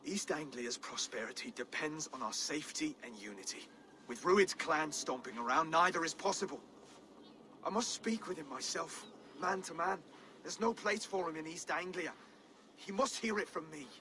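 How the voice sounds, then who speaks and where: A man's voice: a little high, expressive, moderate pace, sharp tone, slight background noise. An actor playing an earnest, principled young Saxon king in a video game, speaking formally and with resolve. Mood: resolute. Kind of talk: dramatic video game acting.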